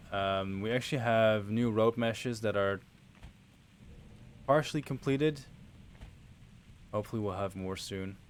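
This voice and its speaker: Low-voiced Dutch man streaming casually. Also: smooth. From Netherlands. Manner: relaxed, rambling, chatting to viewers while playing. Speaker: game streamer. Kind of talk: casual livestream commentary.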